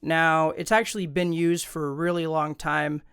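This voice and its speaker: A man's voice: on the high side, level tone, normal speed, fairly bright tone, clean tone, no echo. A man hosting an instructional video, explaining things in an informal, conversational way into a close studio microphone. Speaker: tutorial host. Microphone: close studio condenser microphone.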